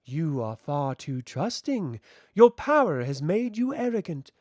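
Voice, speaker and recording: A man's voice: a little high, sing-song, unhurried, no echo, studio quality. A man voicing a haughty, very tall fairy queen, imperious, cold and mocking, in a fantasy audiobook. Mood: icy, imperious.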